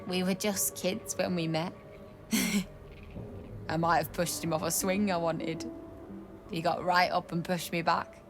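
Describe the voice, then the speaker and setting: An actress voicing a grieving young widow in a video game, speaking in a tearful, hushed way. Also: female voice, on the low side, fairly even intonation, slow pace, long pauses, over music.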